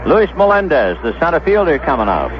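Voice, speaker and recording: A man's voice: on the high side, sing-song, a little fast, muffled recording, a little hiss or hum. A man calling baseball play-by-play as a radio announcer, on an old 1970s broadcast. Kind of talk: radio sports commentary.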